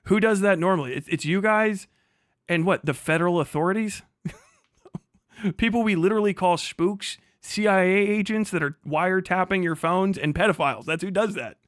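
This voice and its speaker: A man's voice: sits high, normal speed, fairly bright tone, dry recording, studio quality. A man livestreaming combative, off-the-cuff reaction commentary, indignant and mocking, swearing heavily and repeating phrases for emphasis.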